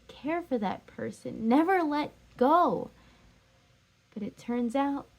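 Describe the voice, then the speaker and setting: A woman's voice: sits high, a little mellow, pitched above the performer's own voice, heavily acted. Woman acting a heartbroken, pleading jilted lover. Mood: anguished, accusing. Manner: emphatic, repetitive, pleading. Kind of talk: stage drama scene and monologue.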